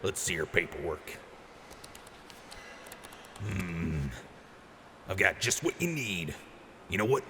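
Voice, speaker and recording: A man's voice: expressive, slow, pauses often, pushed and bright, heavily acted. A man playing a boisterous, generous wasteland quartermaster in an audio drama, with a hyped showman's delivery. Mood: boisterous, friendly. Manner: hyped showman with booming catchphrase outbursts. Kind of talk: post-apocalyptic audio drama acting. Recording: noisy, reverberant mix.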